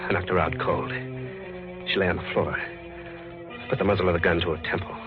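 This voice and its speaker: A man's voice: sits low, level tone, moderate pace, close to an everyday voice. Guy playing tough wounded man on the run, desperate, old muffled radio drama with music. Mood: grim recounting. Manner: tough, slangy, tense narration. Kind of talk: 1940s radio noir acting. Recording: old dull radio recording, music behind.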